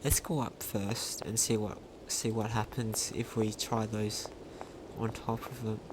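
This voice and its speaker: A man's voice: fairly low pitch, flat delivery, measured pace, heavy background noise. A man giving casual let's play commentary, thinking aloud in a hesitant, rambling way. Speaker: gaming video host. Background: video game music.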